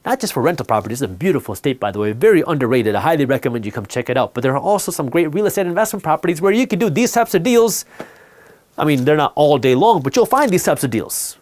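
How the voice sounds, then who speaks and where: Male finance explainer, first language Punjabi, upper-middle pitch, blunt and emphatic delivery. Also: expressive, fast talker. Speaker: finance educator and host. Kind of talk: explainer talk to camera.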